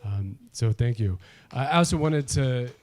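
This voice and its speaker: A man hosting an awards event, introducing honorees with self-deprecating asides and halting restarts. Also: medium pitch, animated, darkish tone. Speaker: event host. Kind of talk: emcee remarks.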